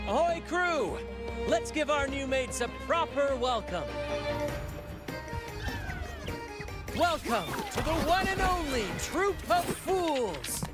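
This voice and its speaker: An actor playing a flamboyant, theatrical ship captain in a video game, with grand, courtly phrasing. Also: male voice, very high voice, full character voice. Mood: grand, exuberant. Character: flamboyant swashbuckling ship captain leading a merry theatrical troupe. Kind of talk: video game character acting.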